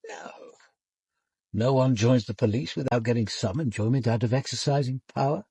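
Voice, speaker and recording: An actor playing a composed, probing senior British police commander in a radio drama, speaking in a measured, formal, reflective way. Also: male voice, mid-range voice, warm tone, slightly rough, no echo. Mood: grave, reflective. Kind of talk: radio drama acting with first-person narration.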